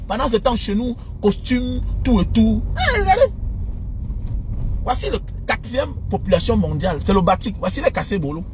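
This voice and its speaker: A man in his thirties with a Cameroonian French accent, ranting informally to his followers in an animated, indignant, mocking way from inside a car. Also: high voice, moderate pace. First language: an African mother tongue. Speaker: social media commentator. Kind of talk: impassioned informal rant to followers. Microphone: phone at arm's length. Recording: noisy car interior with road traffic.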